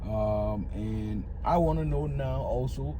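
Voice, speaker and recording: A man's voice: mid-range voice, slow pace. A man talking casually and directly to viewers as a car dealer vlogger, repeating phrases for emphasis, recorded inside a moving car. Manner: conversational, emphatic repetition, addresses viewers directly. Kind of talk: casual storytelling vlog to viewers. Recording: loud car and road noise. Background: engine and road noise.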